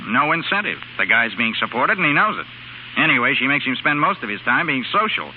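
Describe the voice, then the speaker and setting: An actor playing a cynical, wisecracking bachelor pal in a 1930s radio drama. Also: male voice, medium pitch, very animated, brisk, a little hiss or hum, natural voice. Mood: scornful. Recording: muffled old radio broadcast, faint music.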